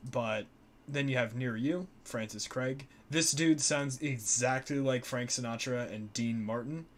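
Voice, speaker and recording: A man's voice: mid-range voice, unhurried. A young man co-hosting a casual live-stream chat about old music, loose and joking, with many fillers. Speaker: co-host.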